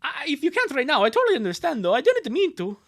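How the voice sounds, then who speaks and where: A man's voice: very high-pitched, wildly swooping pitch, fast, fairly bright tone, voice pushed up, exaggerated voice. A man voicing a shy, lovestruck teenage boy, hesitant and apologetic, in a cartoon fan dub. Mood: flustered, apologetic.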